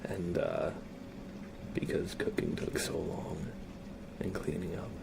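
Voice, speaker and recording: A man hosts an ASMR livestream in a soft, crackly whisper. His voice sits fairly low, and he rambles gently and slowly, as if casually chatting with viewers. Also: flat delivery. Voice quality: soft breathy whisper, gravelly. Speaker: live streamer greeting viewers.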